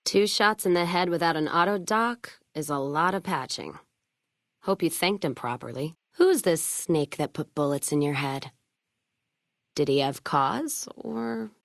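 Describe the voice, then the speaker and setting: A low-pitched tough frontier caravan woman in a video game. She is blunt and wry, a hard drinker with a western turn of phrase. The sound is dry, with no room around it. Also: lively intonation, a little slow, creaky, dry recording, exaggerated voice. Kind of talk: video game character dialogue.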